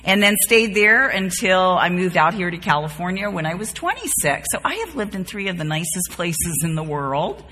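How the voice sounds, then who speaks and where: A woman's voice: fairly low pitch, expressive, normal speed, hardly any pauses, bright, forceful tone, slight background noise. A woman in her fifties from the Bahamas and North Carolina, teaching a Bible study group in an informal, folksy, conversational way. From born and raised in the Bahamas, later North Carolina. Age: 50s. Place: large reverberant room, speaking to a group. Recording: reverberant hall.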